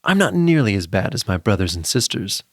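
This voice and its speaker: A man's voice: lowish voice, big pitch swings, a little fast, no room sound, studio quality. A man voicing a chatty, slyly polite monster in a storybook tale, with a coaxing, theatrical manner. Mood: friendly, reassuring. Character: golden-eyed closet monster, chatty, playful, slyly polite.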